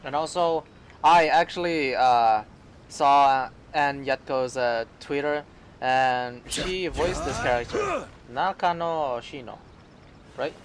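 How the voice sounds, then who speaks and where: A man's voice: mid-range voice, slow pace, clean tone. A man streaming a video game, giving casual off-the-cuff commentary and thinking aloud.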